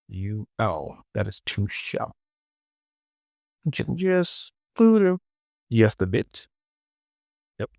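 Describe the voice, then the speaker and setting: A man giving an informal software tutorial, muttering short clipped instructions to himself and often repeating words. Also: lowish voice, vocal fry, no echo, studio quality. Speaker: 3D modeling tutorial presenter.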